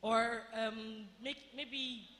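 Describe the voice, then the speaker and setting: A woman giving a teaching talk at a women's retreat, speaking in short phrases with pauses for an interpreter. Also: fairly high pitch, fairly even intonation, fairly bright tone. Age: adult, married since 2016. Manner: measured, pausing phrase by phrase for the interpreter. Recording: echoey hall, faint ambient noise.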